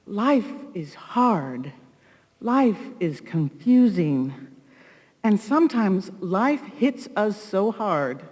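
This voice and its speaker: A woman giving a solemn, deliberate welcome address as a congregation leader, with weighty pauses. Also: medium pitch, a little mellow. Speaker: congregation leader introducing a speaker. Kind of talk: prepared ceremonial welcome address. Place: large hall, live ceremony. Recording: reverberant hall.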